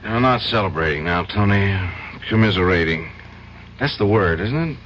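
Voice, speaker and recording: Guy doing a tormented american lawyer, halting, voice up and down, muffled old radio recording. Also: fairly low pitch, lively intonation, natural voice. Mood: bitter, self-pitying. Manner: halting, repetitive, emotional. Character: anguished, guilt-ridden young lawyer drowning his sorrows. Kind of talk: 1970s radio drama acting. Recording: muffled old radio broadcast, faint hiss.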